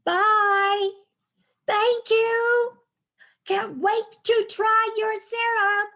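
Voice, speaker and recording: A woman's voice: very high voice, level tone, very slow, sharp tone, full character voice. A woman playing a naive, eager, cheerfully enthusiastic tourist wife in an amateur staged play reading. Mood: delighted. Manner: eager, wide-eyed, chirpy.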